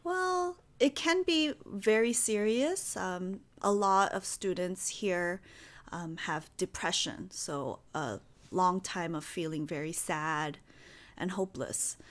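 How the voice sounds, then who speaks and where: A young woman in her twenties from the United States, a guest teacher answering interview questions in a slow, gentle, clearly spoken way. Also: fairly low pitch. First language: Korean.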